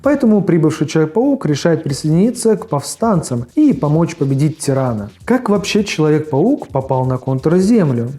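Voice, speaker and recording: A man's voice: fairly high pitch, warm tone. A man hosting a video review, reading casual scripted narration in a conversational way. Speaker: video host reviewing forgotten cartoons. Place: voice-over with faint music behind.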